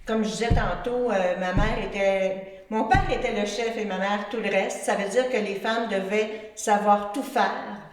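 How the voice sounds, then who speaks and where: A woman's voice: mid-range voice, fairly even intonation, unhurried, few pauses. Wry québécois woman in her 60s telling family stories, lots of euh, big echo. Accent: Québécois French. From Quebec. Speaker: interview participant. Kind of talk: storytelling in a group interview. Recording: very echoey room, distant mic.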